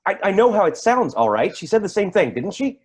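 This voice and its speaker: An actor playing a rattled, defensive man giving halting, emphatic testimony in a dramatic staged reading. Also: sits high, very animated, slightly rough, heavily acted. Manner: halting, emphatic, repeats himself. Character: rattled, defensive man testifying about an eerie deer encounter.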